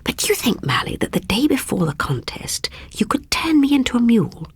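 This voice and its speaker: A woman's voice: on the high side, sing-song, a little fast, husky, no echo, whispered, voice pushed up, full character voice. A woman voicing a spirited young storybook princess who schemes in a coaxing, conspiratorial way.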